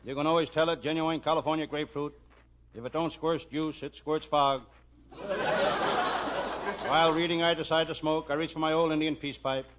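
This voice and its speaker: Parody radio detective: man acting deadpan American private eye, hard-boiled narration, muffled 1940s sound. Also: on the high side, normal speed, pauses often, very noisy, close to an everyday voice.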